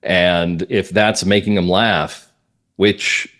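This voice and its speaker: Male interview guest, American, lowish voice, measured phrases with firm emphasis, conversational delivery. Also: fairly even intonation, fairly bright tone. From northern Massachusetts, US. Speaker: guest playwright and comedy writer.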